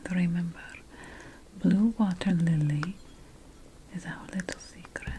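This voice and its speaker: A woman whispering in a breathy, gentle, conspiratorial way, playing a secretive ancient witch in an ASMR fantasy roleplay. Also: on the low side, fairly even intonation, measured pace, slight background noise. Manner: gentle, conspiratorial, addressing the listener as an assistant. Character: secretive ancient witch priestess researching magical potion plants.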